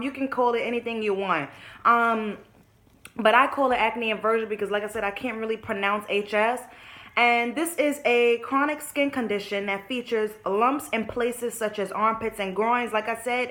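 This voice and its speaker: Candid young woman vlogger, slightly high voice. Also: a bit bright. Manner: candid, frank, addresses viewers as y'all, swears casually. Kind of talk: candid storytelling to camera.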